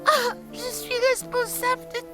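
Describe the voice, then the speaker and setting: A gentle young princess from a cartoon fairy tale, extremely high and fragile. She speaks slowly and plaintively, with loud music behind her. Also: fairly even intonation. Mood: sorrowful, tearful. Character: gentle, sorrowful young princess working as goose girl.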